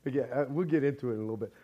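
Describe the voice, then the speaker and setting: A man with a hoarse, raspy voice, preaching a casual sermon with jokes and a rambling, self-interrupting way of talking. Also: mid-range voice, fast, muffled recording. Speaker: pastor. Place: church sanctuary.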